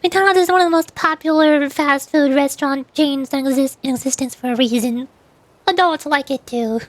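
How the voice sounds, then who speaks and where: A high-voiced woman streaming a game and chatting casually. She speaks haltingly, circling back over her words, and the sound is close and dry. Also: no echo. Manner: hesitant, halting, repeats words. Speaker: VTuber streamer. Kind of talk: casual gaming stream chat.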